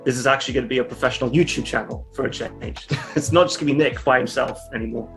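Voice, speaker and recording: A man's voice: mid-range voice, fairly even intonation, fast talker, vocal fry, a bit bright, soft background music. A man in his thirties talking as a guest on a casual video call from a room at home, easygoing and self-deprecating, with hesitant restarts. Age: 30s. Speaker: YouTube creator guest.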